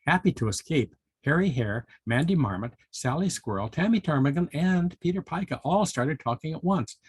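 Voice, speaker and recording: Older man with a husky storyteller's voice. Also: fairly high pitch, animated, moderate pace, dry recording. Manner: folksy, musing, with interjections like hmm and well. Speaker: volunteer storybook reader for children. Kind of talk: storytelling and chatty talk to young children.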